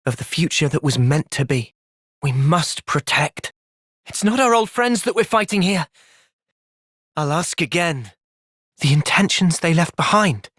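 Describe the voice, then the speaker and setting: An actor voicing an earnest, thoughtful young male soldier and leader in a video game, with an English accent and a measured delivery. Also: a little high, expressive, fairly bright tone, husky, dry recording, clean studio sound, exaggerated voice. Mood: solemn, resolute. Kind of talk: video game cutscene acting.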